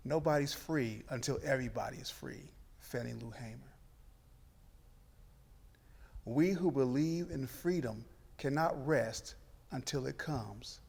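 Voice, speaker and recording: A man's voice: medium pitch, moderate pace, creaky, a little mellow, breathy edge. A man reading a historic letter and quotations aloud as a scripted recitation. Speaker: church member reading quotes aloud. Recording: echoing hall with long reverb.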